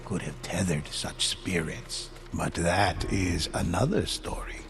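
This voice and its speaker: A man voicing a grave storyteller, narrating ghost legends for a game in a slow, gravelly voice. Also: exaggerated voice. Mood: solemn. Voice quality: deep, gravelly. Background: music.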